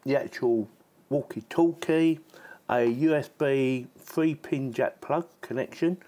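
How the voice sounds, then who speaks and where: A man's voice: mid-range voice, slow pace, warm tone. Chatty man demonstrating gadgets. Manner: chatty, informal, repeats himself. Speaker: product reviewer. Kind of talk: casual hands-on gadget demonstration.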